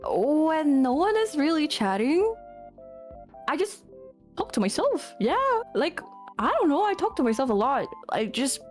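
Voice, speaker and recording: A woman co-hosting a casual streamer podcast, upbeat and animated, giggling between thoughts. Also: high-pitched, moderate pace, faint music behind. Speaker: VTuber podcast co-host. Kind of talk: casual livestream podcast chat.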